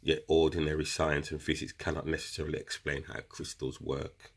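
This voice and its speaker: A man with a very deep, low voice and a husky edge, stuffy from a cold and a runny nose. He talks casually and directly to his viewers, explaining a practice step by step like a relaxed teacher. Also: fairly quick. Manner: chatty, addresses viewers as guys. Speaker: crystal seller explaining meditation. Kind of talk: casual instructional video talk.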